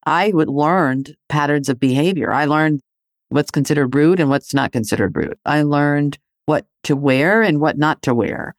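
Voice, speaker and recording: Solo podcast host raised in Greece, reflective and needling, middle pitch, dry close sound. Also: normal speed, dry recording. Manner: provocative, poses rhetorical questions; conversational with frequent "you know, right?".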